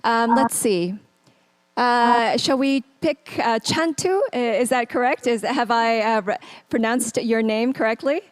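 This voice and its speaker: A woman moderating a conference, asking panelists questions politely and briskly. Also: fairly high pitch, no room sound. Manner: brisk and polite, slows down and speaks carefully in English. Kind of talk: bilingual moderating, switching between Korean and English. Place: conference room.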